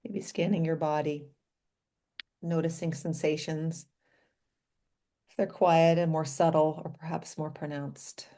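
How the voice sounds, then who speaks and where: Slow, low-voiced woman guiding meditation. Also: flat delivery. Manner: gentle, unhurried, inviting, with pauses between short phrases. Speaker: doctor leading a guided meditation. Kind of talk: guided meditation.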